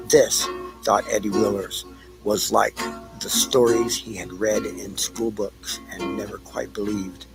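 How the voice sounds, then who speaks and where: Slow, low-voiced male narrator over guitar music. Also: rough recording. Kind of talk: scripted audio play narration.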